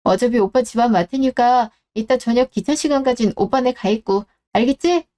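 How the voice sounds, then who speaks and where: A woman's voice: fairly high pitch, moderate pace, mellow, close to an everyday voice. A woman playing a blunt, exasperated middle-aged daughter who nags and complains in a radio drama. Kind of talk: Korean radio-drama story acting.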